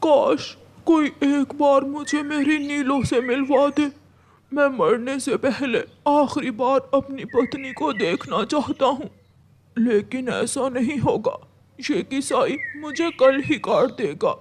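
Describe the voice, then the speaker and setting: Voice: sits high, slow pace. A performer voicing a worried, sorrowful male bull in a cartoon, with an anxious, mournful manner. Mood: despairing.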